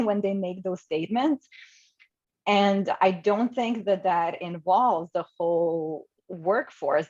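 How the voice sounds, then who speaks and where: Woman, from Croatia, low pitch, hedging, muffled video call audio. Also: a little mellow. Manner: thoughtful, hedging with fillers. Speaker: webinar attendee. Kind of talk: casual question from the audience.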